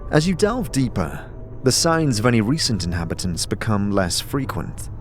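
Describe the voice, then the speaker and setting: A man's voice: fairly low pitch, big pitch swings, moderate pace. A man delivering dramatic scripted narration as the game master of a fantasy audio drama, over music.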